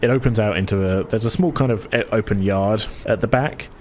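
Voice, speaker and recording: A man improvising narration as game master of a tabletop game, feeling for words in a halting way. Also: lowish voice, a little hiss or hum.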